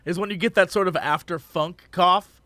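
A man co-hosting a casual podcast, hoarse from losing his voice, joking around and breaking into silly sung bits. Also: high voice, normal speed, dry recording. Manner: goofy, sings and shouts bits, jokey asides. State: hoarse, lost voice. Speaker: podcast co-host.

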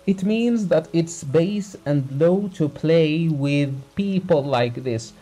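A furious country doctor, as a male reader puts him on, raised and loud, spitting contempt at a rich man. He shouts in a high, strained voice, slow and heavy on each word. Bitter, scornful audiobook character acting. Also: lively intonation, heavily acted, low-quality recording. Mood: outraged.

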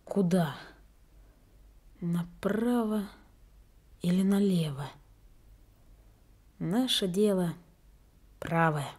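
A performer with a woman's register playing a troubled young runaway boy in a radio drama, thinking aloud slowly and quietly. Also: fairly low pitch, slightly rough, exaggerated voice. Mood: hesitant, hushed. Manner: slow, hushed, thinking aloud. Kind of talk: Soviet radio drama monologue.

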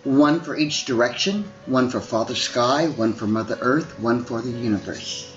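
A storyteller voicing a wise male bear healer who speaks low, soft and steady. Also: moderate pace, lo-fi sound, faint music behind, lightly acted. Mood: calm.

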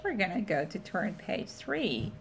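Woman teacher, reading slowly to a kid, very up and down, patient. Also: lowish voice, very animated, gravelly, a little hiss or hum. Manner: patient, prompting and repeating simple phrases. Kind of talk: read-aloud lesson with a young child.

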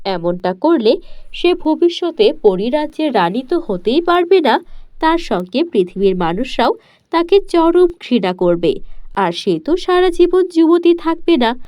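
High-pitched, animated woman storyteller. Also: unhurried, no room sound, studio quality. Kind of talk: scripted children's fairy-tale narration.

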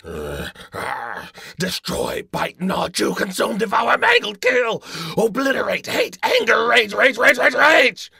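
Raging, ravenous monster, raised pitch. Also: male voice, wildly swooping pitch, takes it slowly, vocal fry, bright, forceful tone, breathy edge, no echo, full character voice. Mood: mounting rage. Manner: snarling list of violent words, building in fury.

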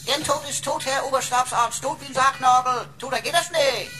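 An actor playing a sardonic, flippant supercomputer sidekick with mock-servile manners in a radio drama. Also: male voice, extremely high pitch, a little fast, faint music behind. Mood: flippant, morbid. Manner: mock-servile, deadpan gallows humour.

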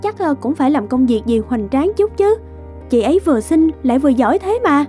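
A woman playing a curious, gossipy young office worker in a cartoon, animated in manner. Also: high voice, a little fast, soft background music.

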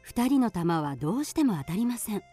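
Woman narrator, radio drama folk tale, mellow tone, lively intonation, music underneath. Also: mid-range voice, brisk, slightly rough.

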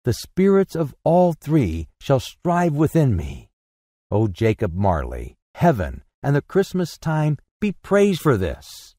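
A man's voice: mid-range voice, wildly swooping pitch, takes it slowly, warm tone, dry recording, studio quality, exaggerated voice. A man voicing an old Victorian miser, repentant and fervent, in an exclamatory, swooping storybook performance. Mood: fervent gratitude. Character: elated repentant old miser, giddy, pleading, Victorian Englishman.

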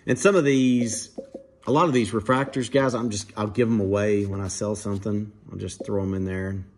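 A man casually commenting to viewers while opening trading card packs at a dining table at home, in a relaxed, chatty way. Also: fairly low pitch, normal speed. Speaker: card breaker, channel host.

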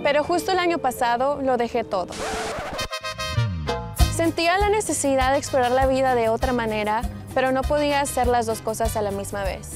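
A young woman from Peru with a high, light voice talks earnestly and quickly about herself. Loud music plays under her in a TV studio. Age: 20s. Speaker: talent show contestant. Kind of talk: spoken self-introduction and answers to judges. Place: TV studio stage.

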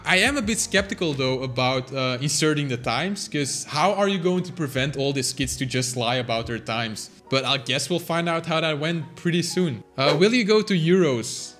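Young man, casual Q&A vlog, slightly high voice, faint background music, small indoor room. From Belgium. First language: Flemish. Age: young adult. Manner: chatty, animated, quick. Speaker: channel host. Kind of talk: casual Q&A answering viewer questions. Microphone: fixed camera, seated at desk.

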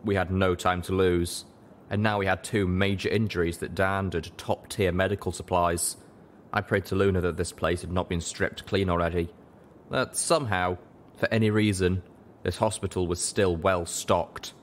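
A man's voice: low-pitched, fairly even intonation, moderate pace, a little hiss or hum. A man reading scripted fiction aloud as an audiobook narrator. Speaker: audiobook reader.

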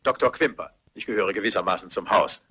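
A man's voice: fairly low pitch, fast, pushed and bright, slightly rough, lo-fi sound. An actor playing a jovial, wry family doctor who banters his way through a radio play. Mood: breezy, wry. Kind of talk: German radio play acting.

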